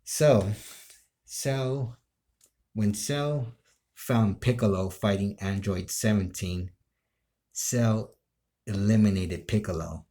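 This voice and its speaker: A man's voice: low-pitched, warm tone. A man casually explaining his anime role play in a rambling way, repeating himself as he goes. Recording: echoey room.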